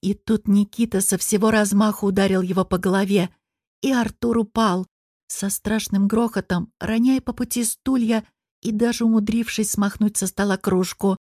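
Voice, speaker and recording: A woman reading first-person fiction as an expressive audiobook narrator. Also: mid-range voice, moderate pace, dry recording, studio quality.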